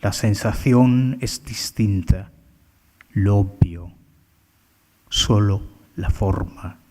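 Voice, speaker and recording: Slow, halting man. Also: on the low side, mellow. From India. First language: Bengali. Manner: slow, halting, many filler sounds. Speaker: poet. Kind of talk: poetry reading with commentary. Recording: long hall reverb.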